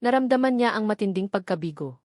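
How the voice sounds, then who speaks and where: A woman's voice: mid-range voice, lively intonation, fast, darkish tone, dry recording, clean studio sound. A woman reading scripted dramatic narration of a romance story as a storyteller.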